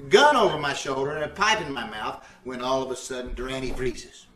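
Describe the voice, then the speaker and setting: A man's voice: medium pitch, big pitch swings, fairly quick, pushed and bright. A man playing a folksy, boastful, bumbling husband in old-time radio comedy style, blustering and stammering. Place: indoors on a live Zoom call. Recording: echoey, distant, noisy video call.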